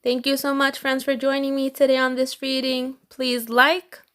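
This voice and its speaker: A woman hosting a read-aloud, narrating a children's storybook slowly and expressively with emphatic phrasing. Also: high voice, completely flat delivery, a bit bright.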